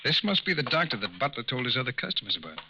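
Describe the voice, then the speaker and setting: A calm, authoritative federal agent in a vintage radio drama. His mid-pitched voice is strikingly bright and forceful. He questions politely and evenly, on a dull old recording with faint noise. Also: rapid-fire, full character voice. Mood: calm, inquiring. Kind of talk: 1940s radio crime drama acting. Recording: muffled, hissy old radio broadcast.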